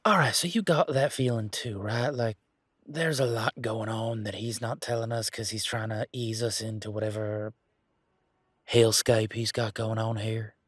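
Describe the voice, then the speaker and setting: A man playing a laid-back, wry werewolf in an intimate one-sided audio roleplay, talking in a casual, folksy, teasing way with a husky voice. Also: lowish voice, steady tone, moderate pace, no echo, studio quality, lightly acted. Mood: wry, uneasy.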